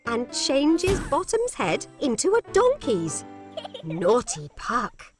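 Slow, animated woman storyteller. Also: fairly high pitch. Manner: clear, simple, expressive. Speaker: narrator. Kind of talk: scripted children's story narration. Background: music.

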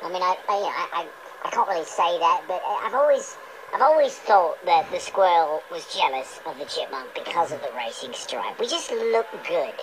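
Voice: medium pitch, expressive, moderate pace, crowd noise, natural voice. An actor voicing a cocky, rambling British male chipmunk with an attitude for a comic kids' radio show. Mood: smug, chatty. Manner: rambling, insistent, boastful, mildly defensive. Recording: noisy off-screen capture of radio playback.

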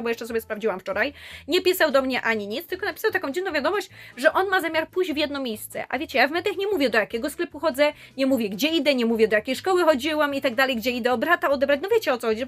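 A young woman vlogging to camera in a small room, telling a story in an animated, chatty way. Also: high voice. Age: young adult. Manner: animated, chatty, gesturing with her hands.

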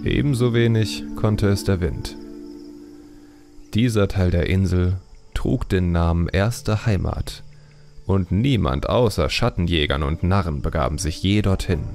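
A man reading a fantasy audiobook as narrator in a measured, deliberate, dramatic storytelling style. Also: low-pitched, darkish tone.

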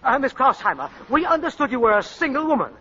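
Casting: prissy, obsequious shop floorwalker, very high and bright, 1940s radio comedy. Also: male voice, big pitch swings, quick pace, husky, heavily acted. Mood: flustered, officious. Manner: obsequious, prissy, hesitant politeness. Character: fussy, flustered male department-store floorwalker. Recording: dull 1940s radio broadcast with audience.

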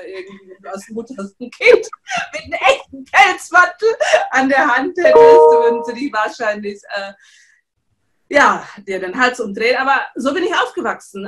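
A woman in her thirties from Czechoslovakia, a business coach, telling her story over a video call with many fillers. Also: on the high side. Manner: lively with frequent fillers. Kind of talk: interview storytelling. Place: studio room with teal backdrop.

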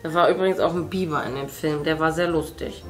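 Woman chatting over soft music. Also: low voice, fairly even intonation, fast talker. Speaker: host, mother. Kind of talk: casual chat while painting. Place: indoor room at a craft table.

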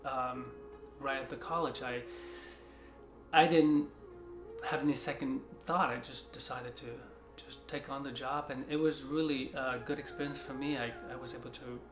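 A man in his forties from China, talking in a soft, halting way with many restarts during a seated interview in an art studio. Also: medium pitch, fairly even intonation. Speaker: artist. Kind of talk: reflective interview talk. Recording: roomy studio sound with music behind.

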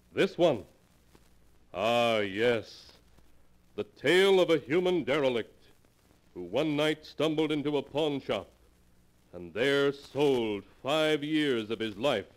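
A man narrating as announcer of a 1940s radio mystery show in a theatrical, portentous manner. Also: mid-range voice. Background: music.

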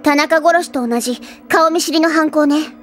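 A woman's voice: high voice, normal speed, fairly bright tone, soft background music. A woman voicing a self-assured, bossy teenage schoolgirl detective in a theatrical anime audio drama style. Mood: brisk, confident. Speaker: voice actress.

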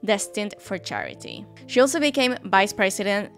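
A woman's voice: medium pitch, huge pitch swings, moderate pace, a bit bright. A young woman with an Argentine Spanish accent, Spanish her first language, narrates a true crime case in a casual, chatty way, often turning to the viewer with little asides. She talks into a studio mic on a stand in a room at home, with faint music underneath.

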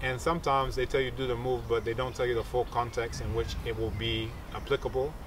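A man's voice: medium pitch, level tone, a little fast, distant mic, noisy background. A man in his thirties explaining as a teacher in an interview, didactic, often checking that the listener understands. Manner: didactic, checks understanding with short tag questions. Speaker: capoeira teacher and researcher. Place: outdoors among leafy trees.

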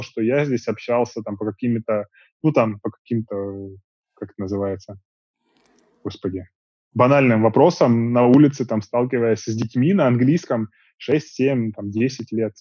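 A man in his thirties, an interview guest, chatting casually in long, relaxed stretches from a small room at home over earbuds on a video call. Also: mid-range voice, moderate pace, slightly rough, no room sound. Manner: relaxed, talks in long rambling stretches with fillers. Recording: dull dry video call audio.

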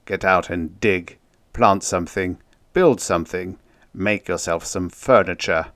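Man as an earnest low-voiced spaceman. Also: a little slow, a bit bright, no echo, studio quality. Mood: insistent. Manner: insistent, plain-spoken. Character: earnest, well-meaning, advice-giving male spaceman.

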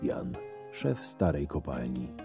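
A man playing a curt, irritable convict guard who narrates his own story in a sardonic, gruff way in an audio drama. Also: rumbling low, very animated, slow, warm tone, faint music behind, full character voice. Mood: weary, sardonic. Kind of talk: first-person audio drama narration with dialogue.

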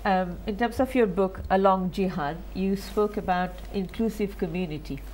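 A middle-aged woman hosting a TV panel in a studio, brisk and interjecting. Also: mid-range voice, darkish tone, a little hiss or hum, low-quality recording. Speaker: TV host. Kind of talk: moderating a panel. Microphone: lapel.

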